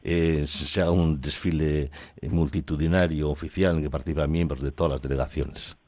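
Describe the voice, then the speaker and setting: A male radio interviewer with a Spain accent and an extremely low voice puts questions in a conversational style. The recording is dry and close, with no room sound. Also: steady tone, moderate pace, darkish tone, dry recording.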